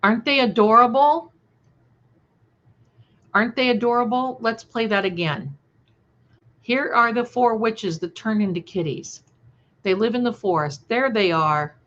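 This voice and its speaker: Woman doing a casual livestream, really slow and scattered, gushy with endearments, kinda muffled lo-fi sound. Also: fairly high pitch, a bit bright. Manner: affectionate, effusive, addressing listeners with endearments. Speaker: livestream host and creator. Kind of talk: casual livestream chat with viewers. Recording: dull, lo-fi livestream audio.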